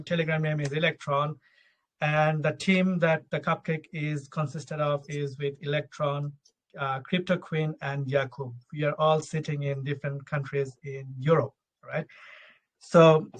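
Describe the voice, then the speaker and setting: A man's voice: a little high, a bit bright, low-quality recording. Bangladeshi-born man, loose tag-question talk. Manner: loose, repeats "right?" and restarts often. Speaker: token project founder. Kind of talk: live audio AMA talk. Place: Luxembourg.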